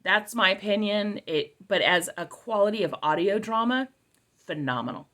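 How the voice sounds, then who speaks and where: A woman's voice: mid-range voice, animated, moderate pace, a bit bright. A middle-aged woman giving a casual, conversational product review, repeating key phrases for emphasis.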